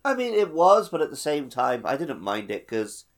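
A man's voice: medium pitch, very animated, moderate pace. A man co-hosting a podcast, talking casually and conversationally about games. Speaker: podcast host. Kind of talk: casual game discussion and chat. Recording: slightly dull, lo-fi audio.

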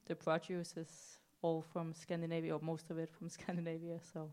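A woman from Denmark with a fairly low voice explains dishes on a stage panel. She is friendly and easy, pausing on fillers as she goes. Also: completely flat delivery, darkish tone, smooth. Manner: friendly, explaining with small laughs. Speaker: chef on a panel. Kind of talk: casual panel answer.

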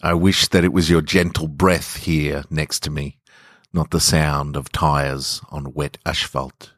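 A man voicing a lonely, sleepless lover in a brooding, measured first-person story reading. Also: extremely low pitch, little pitch movement, a bit bright, dry recording, clean studio sound, exaggerated voice. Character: lonely, sleepless lover lying in a hotel bed.

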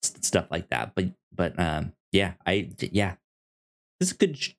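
A man co-hosting a casual discussion show, speaking haltingly and often stammering over the starts of his words. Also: low voice, sing-song. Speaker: podcast co-host.

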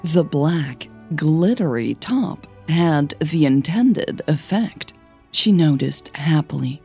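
A woman's voice: low voice, animated, slow pace, darkish tone, slightly rough, soft background music. A woman hosting a fiction podcast, giving announcements and narrating stories.

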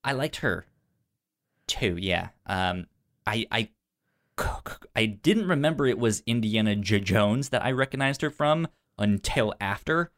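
A man co-hosting a film podcast in casual conversation, halting and stuttering as he repeats sounds and words. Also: lowish voice, animated, a bit bright.

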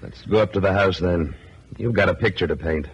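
An actor playing a tough, steady rancher hero who narrates a radio western in a terse, commanding manner. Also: male voice, sits low, fairly quick, slightly rough, highs cut off, exaggerated voice. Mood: calm, resolute. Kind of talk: 1950s radio western acting. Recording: vintage radio drama recording with music.

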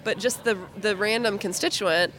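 A woman's voice: mid-range voice, normal speed, a bit bright, poor audio. A woman hosting an interview, asking questions and affirming her guest in a friendly, thoughtful way.

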